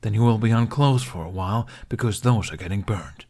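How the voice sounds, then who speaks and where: A man's voice: on the low side, a little fast, mellow. A man voicing a bossy, impatient male dragon in an audiobook, fussily scolding his human companion. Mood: stern. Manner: imperious, fussy, impatient.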